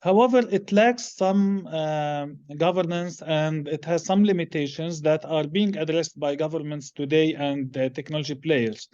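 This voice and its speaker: Halting, higher-pitched Lebanese-accented man. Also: a little mellow, muffled recording. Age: middle-aged. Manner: halting, measured phrasing with many hesitations. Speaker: interview guest, business executive. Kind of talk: unscripted interview answers.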